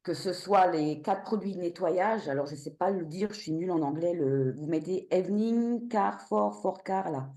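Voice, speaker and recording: A woman's voice: lowish voice, reverberant. A woman in her fifties giving a blunt, outspoken customer testimonial on a video call. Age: 50s. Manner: blunt, outspoken, animated and quick.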